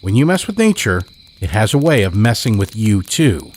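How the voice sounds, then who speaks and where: A man's voice: fairly low pitch, very animated, normal speed, a little hiss or hum. A man voicing a stern mother warning her child in a spoken horror story. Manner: stern, scolding.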